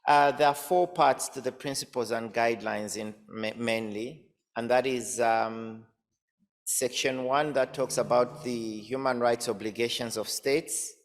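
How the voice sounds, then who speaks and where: A man's voice: mid-range voice, fairly even intonation, measured pace. A man from Zambia presenting as an academic, hesitant with many fillers and repeated words. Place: conference hall. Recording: reverberant conference hall, off-mic at times.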